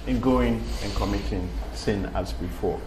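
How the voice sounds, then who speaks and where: A male reverend, a guest on a talk show, explaining scripture in a measured way. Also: lowish voice, darkish tone, slight background noise. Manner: measured, emphatic agreement.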